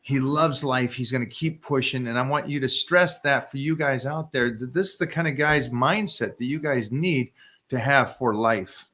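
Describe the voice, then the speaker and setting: Energetic man coaching on a live stream. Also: medium pitch, normal speed. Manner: energetic, emphatic, repeats words when excited. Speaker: fitness coach host.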